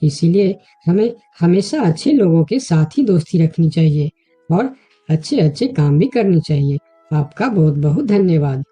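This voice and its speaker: A woman's voice: fairly low pitch, animated, moderate pace, mellow, husky, soft background music. A woman giving scripted narration of a children's story with a moral.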